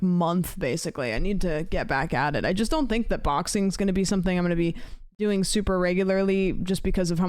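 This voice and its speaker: A woman's voice: on the low side, dry recording, studio quality. Chatty woman streamer in her late twenties. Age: late 20s. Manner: rambling, chatty, lots of fillers. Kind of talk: casual chat with viewers.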